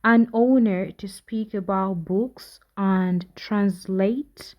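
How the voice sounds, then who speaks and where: Woman reading a short post out loud, slow and even, dull lo-fi sound. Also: medium pitch.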